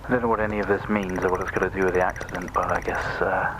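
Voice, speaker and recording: An actor playing a measured, subdued male therapist in a video game, dictating session notes in a creaky, breathy murmur over noise. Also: low-pitched, little pitch movement. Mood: subdued, clinical. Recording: noisy game audio recording.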